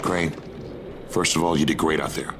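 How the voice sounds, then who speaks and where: A man playing a gruff, sarcastic survivor briefing a newcomer in a video game, blunt and wry. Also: rumbling low, steady tone, moderate pace, background noise. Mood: businesslike. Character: gruff, sarcastic male survivor organizing night-time traps. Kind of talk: video game dialogue acting.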